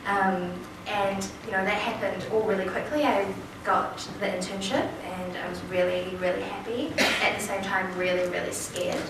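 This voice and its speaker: A chatty woman in her twenties with a New Zealand accent, telling a personal story at a forum. She sounds far from the mic, in a big hall full of echo. Steady background noise runs under her voice. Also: medium pitch, normal speed, few pauses. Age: 20s. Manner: chatty, lively storytelling with quoted asides. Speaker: panel speaker. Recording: echoey hall from far off, noisy.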